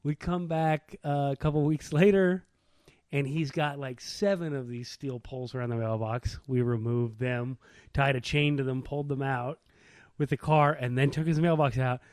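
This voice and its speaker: A man's voice: mid-range voice, steady tone, normal speed, a little mellow, no room sound. A middle-aged man chatting casually and telling anecdotes with wry, irreverent humor.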